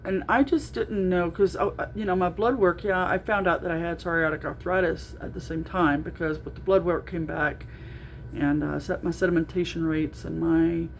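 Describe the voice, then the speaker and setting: A middle-aged woman with a naturally loud voice, talking through a casual video diary with lots of ums and restarts. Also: lowish voice, fairly even intonation, moderate pace, creaky, slight background noise. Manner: rambling, with frequent ums and restarts. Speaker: vlogger.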